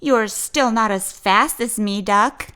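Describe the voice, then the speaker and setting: A woman's voice: fairly high pitch, slow pace, pushed and bright, clear, resonant tone. A woman voicing a proud, competitive male horse in a children's storybook, with a boastful manner.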